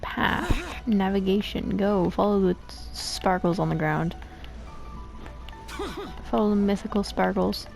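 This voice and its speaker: A woman's voice: medium pitch, takes it slowly, vocal fry, pauses often, darkish tone, soft background music, rough recording. A woman doing casual gameplay commentary, making silly, rambling asides as she streams. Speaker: gaming streamer.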